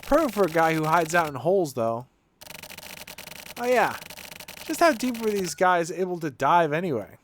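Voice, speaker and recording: Animated, higher-voiced man reading game dialogue. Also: moderate pace, long pauses, a little hiss or hum. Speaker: game streamer. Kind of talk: reading visual novel text aloud in character. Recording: music playing behind the voice.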